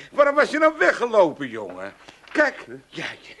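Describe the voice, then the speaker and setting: A man's voice: very high voice, huge pitch swings, measured pace, a bit bright, full character voice. An actor playing a scheming, bungling older father in a radio play, with an Amsterdam accent. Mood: fussing. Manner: blustering, talks his way out, fussy.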